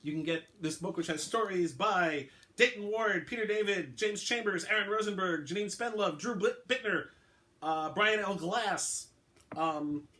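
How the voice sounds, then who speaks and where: A man's voice: high-pitched, measured pace, sharp tone. A man, an author, reading aloud as a dramatic storyteller.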